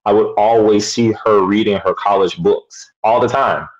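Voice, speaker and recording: A man in his thirties, a teacher and interview guest, speaking in an articulate, measured way over a video call. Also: lowish voice. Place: home room. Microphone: webcam on a video call. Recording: dull lo-fi video call audio.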